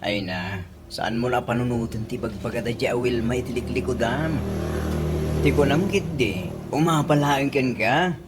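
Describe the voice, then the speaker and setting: A man's voice: mid-range voice, normal speed, heavy background noise, close to an everyday voice. A man playing an exasperated older father in an Ilocano-accented comedy radio drama, nagging and mocking. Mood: scolding.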